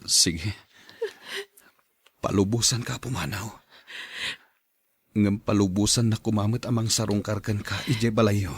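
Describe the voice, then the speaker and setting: Low, very rough-voiced husband, acted by a man. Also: fairly even intonation, unhurried, pauses often, dry recording. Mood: pleading, contrite. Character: unfaithful husband, contrite and pleading. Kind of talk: Ilocano audio drama acting.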